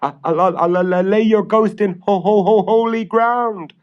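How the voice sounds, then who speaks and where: A cowardly old-world prior, pleading in a high, frightened voice. He stammers and quakes on nearly every phrase, on a muffled vintage story record. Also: unhurried. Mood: terrified pleading. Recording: dull vintage spoken-word LP.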